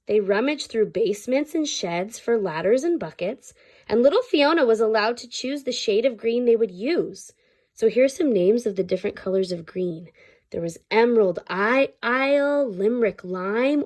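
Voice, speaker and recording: A woman reading a children's story aloud, pausing to explain things simply to her listeners. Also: fairly high pitch, a little mellow. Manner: pauses to explain words and ask listeners questions.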